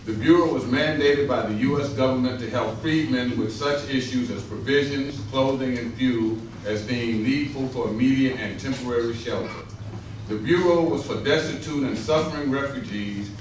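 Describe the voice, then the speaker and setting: A man reading scripted historical narration aloud as a narrator in a readers theater. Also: medium pitch. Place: stage rehearsal in a large echoing room. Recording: distant, echoey hall, clear noise.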